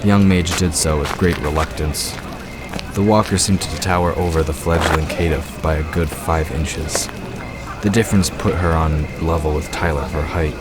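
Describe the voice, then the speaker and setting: A man with an exceptionally low voice narrates a fantasy audio drama. He reads scripted prose as the story's narrator, with music clearly playing behind him. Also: fairly even intonation, normal speed.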